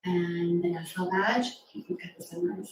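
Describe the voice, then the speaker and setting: A woman's voice: fairly low pitch, unhurried, faint music behind. A woman reading rhyming verse aloud to young children at a live storytime, with an encouraging, interactive manner. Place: live reading event in a roomy space. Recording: echoey room, distant mic, faint noise.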